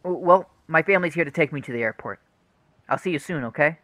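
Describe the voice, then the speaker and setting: Actor as an earnest, bright-voiced troubled young man. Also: on the high side, fairly quick, no room sound. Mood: tender. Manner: hesitant, confessional. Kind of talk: radio play acting.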